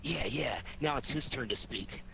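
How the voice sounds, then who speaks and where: A man's voice: mid-range voice, normal speed, gravelly, close to an everyday voice. An American man playing an easygoing, wisecracking amateur sleuth with flippant, teasing banter in a 1940s radio drama. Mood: wry, relaxed. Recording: noisy vintage 1940s radio recording.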